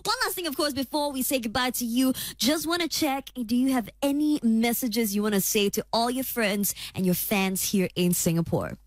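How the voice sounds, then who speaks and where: Woman, radio host, bubbly, effusive, upbeat interview style, higher-pitched, dry studio sound. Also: normal speed, few pauses, no room sound. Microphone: studio broadcast microphone.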